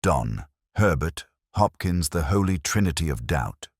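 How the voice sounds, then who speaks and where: A man playing a brooding, introspective British police inspector, narrating a detective story in a measured, solemn, literary way. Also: low-pitched, animated, slightly rough, no echo, clean studio sound, full character voice. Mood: brooding, reflective. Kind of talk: scripted first-person detective story narration.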